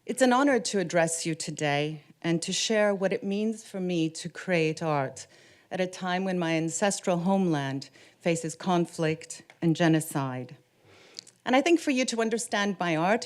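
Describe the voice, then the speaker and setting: A woman from Canada whose first language is Ukrainian, giving a formal conference presentation as an artist in a measured, solemn way that slows down on key words. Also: fairly low pitch. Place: conference room.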